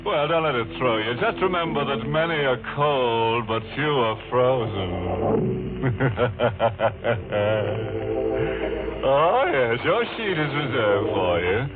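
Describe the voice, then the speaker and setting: A man's voice: medium pitch, sing-song. An actor playing a gleefully morbid, mocking horror host on a 1940s radio show, savoring his macabre puns. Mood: gleeful, teasing. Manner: macabre punning, slow savoring delivery, chuckles. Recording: muffled old radio recording, organ music.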